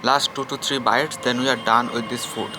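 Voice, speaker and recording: A man's voice: medium pitch, fairly even intonation, moderate pace, noisy background. Chatty Bengali man vlogging outdoors. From India. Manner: rambling, repeats himself, chatty asides to viewers. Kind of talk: casual vlog while eating outdoors. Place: public place outdoors.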